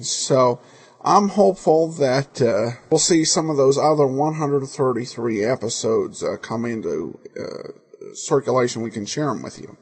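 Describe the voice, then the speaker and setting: A man hosting a podcast, giving unscripted commentary in halting, hesitant phrases. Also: medium pitch, vocal fry, darkish tone, slightly rough. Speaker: podcast host. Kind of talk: unscripted commentary on old radio shows.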